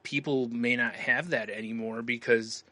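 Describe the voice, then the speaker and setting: Male podcast co-host, bright forward voice, rambling conversational delivery, very dry close recording. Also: medium pitch, steady tone, moderate pace, dry recording. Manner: rambling, conversational, often says "you know". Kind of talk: casual chat.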